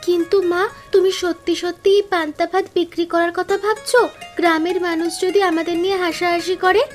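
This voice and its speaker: A woman voicing an earnest young village daughter, hesitant but helpful, in an animated cartoon story. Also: very high voice, brisk, soft background music.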